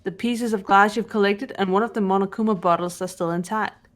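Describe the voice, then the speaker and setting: A woman playing a cool, analytical teenage girl detective in a game voice-over, speaking in a measured, serious way. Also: medium pitch, steady tone, close to an everyday voice. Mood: businesslike. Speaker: amateur voice actor. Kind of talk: fan voice-over of a visual novel game. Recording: game music under the voice.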